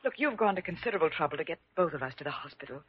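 A woman's voice: low voice, lively intonation, very fast, husky. An actress playing a proud society wife and mother in classic radio drama, formal and brittle in her politeness. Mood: anxious, flustered. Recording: dull vintage radio recording.

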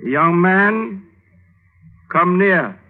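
A man's voice: high-pitched, expressive, drawn-out words, full character voice. A man playing a spry, genial old man near seventy in a classic radio drama, speaking in a courtly way. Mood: genial, inviting. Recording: narrow-band old radio recording.

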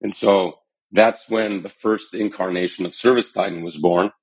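Male founder in his thirties, deep voice, sincere and steady storyteller. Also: breathy edge, poor audio. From Armenian, born in Iran, raised in the US. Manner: earnest and measured, some fillers. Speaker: startup founder and CEO, podcast guest. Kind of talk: interview storytelling.

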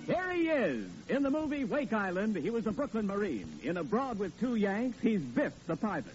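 Booming old-time radio announcer man. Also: very animated, moderate pace, darkish tone. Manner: formal, booming announcer delivery. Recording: dull old radio broadcast with faint music.

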